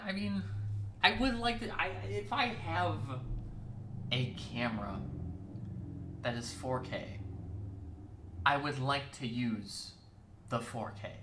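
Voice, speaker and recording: A man hosting a weekly casual chat show, talking in a rambling way with lots of fillers and restarts, his voice hoarse. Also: medium pitch, bright, forceful tone, roomy sound, a little hiss or hum. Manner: rambling, filler-heavy, self-correcting. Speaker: host.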